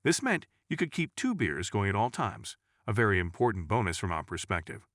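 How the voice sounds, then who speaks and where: A man's voice: on the low side, very animated, a little fast, a bit bright, no echo, clean studio sound. A man reading a scripted first-person scary story as a narrator.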